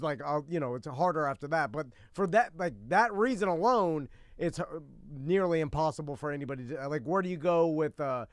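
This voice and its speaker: A man co-hosting a talk show in casual radio banter, telling things in a rambling, halting way. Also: a little high, smooth, no room sound, clean studio sound.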